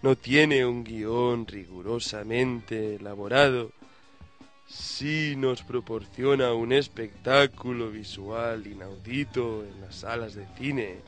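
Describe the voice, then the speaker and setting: A man hosting a mock talk-show interview in a measured, mock-formal manner. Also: mid-range voice, fairly even intonation, fairly bright tone, faint music behind. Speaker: host.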